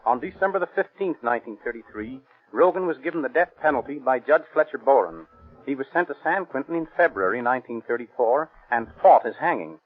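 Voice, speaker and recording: A man's voice: mid-range voice, animated, fairly quick, husky. A man reading formal, factual scripted narration for a 1930s police radio show. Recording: tinny band-limited 1930s radio recording.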